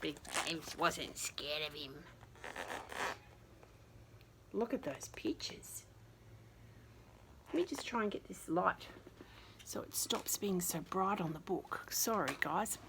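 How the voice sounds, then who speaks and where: A woman reading a picture book aloud to young children in an expressive, dramatic way, with casual chatty asides and some hushed delivery. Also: on the low side, slow, pauses often, noisy background. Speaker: children's story reader.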